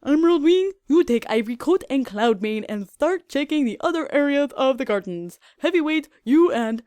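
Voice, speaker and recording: A dutiful male unicorn captain of the royal guard, acted out by a woman. He barks his orders loudly in a military manner, pitched high for a storybook fantasy. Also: animated, moderate pace, dry recording. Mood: barking orders. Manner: loud, military, commanding.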